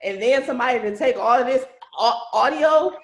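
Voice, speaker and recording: A woman with a fairly high voice talks casually and effusively on a video call. She tells things in slangy, animated bursts as a host and writer would, and the room around her rings with clear echo. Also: brisk.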